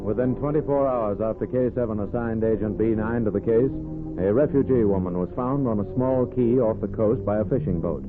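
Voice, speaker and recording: A man's voice: lowish voice, brisk. A man narrating as a radio announcer in an emphatic, formal, dramatic 1940s style. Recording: tinny vintage radio with music. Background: music.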